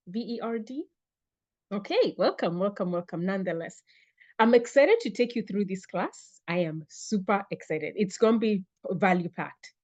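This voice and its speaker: A woman hosts a live online class, upbeat and chatty as she welcomes viewers by name. Her voice sits at a middling pitch and comes through a computer mic on a video call. The audio is a little dull. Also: darkish tone. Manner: upbeat, greets viewers by name, repeats phrases. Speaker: webinar host and fitness coach.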